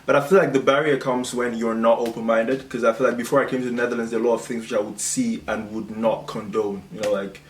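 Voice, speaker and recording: A man's voice: mid-range voice, fairly even intonation, fast, few pauses. A young man from Cameroon chatting casually and explaining his views in an informal way, as the host of a video discussion. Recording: echoey room with some background noise.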